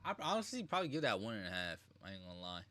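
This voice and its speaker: A man's voice: fairly low pitch, big pitch swings, racing, fairly bright tone. A man giving casual live reaction commentary as a game streamer, slangy and informal.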